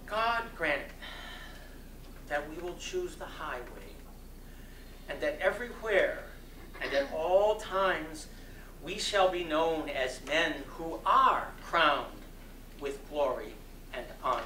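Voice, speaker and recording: A man delivers a sermon as a dramatic reading in a bright, forceful voice. His phrasing is very slow and deliberate, with impassioned oratorical emphasis. He is recorded from a distance in an echoey hall. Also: fairly high pitch, lively intonation. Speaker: reader of a sermon. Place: community center hall. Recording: distant mic in echoey room, faint noise.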